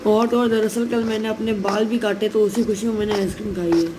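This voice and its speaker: Man, sore throat, casual game commentary straight to viewers, keeps clearing his throat, noisy recording with clicks. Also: high voice, flat delivery, fast. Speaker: gameplay video creator.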